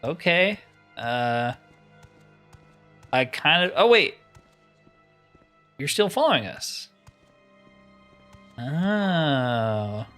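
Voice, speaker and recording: A man's voice: on the high side, big pitch swings, very slow, long pauses, pushed and bright, clean tone, faint music behind. A man giving casual, informal let's play commentary on a roleplaying video game, thinking aloud as he plays.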